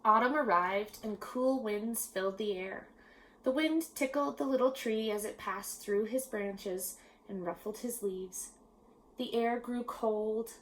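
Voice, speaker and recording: A woman reading a children's picture book aloud in a measured, expressive storytelling manner with pauses. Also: mid-range voice, a little hiss or hum, rough recording. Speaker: storybook reader. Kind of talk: children's read-aloud narration.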